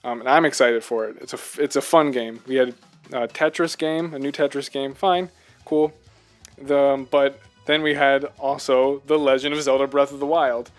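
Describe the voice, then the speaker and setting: A man's voice: mid-range voice, steady tone, normal speed, faint music behind. A man co-hosting a casual video game discussion, talking in an earnest, emphatic way and often asking rhetorical questions. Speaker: podcast co-host.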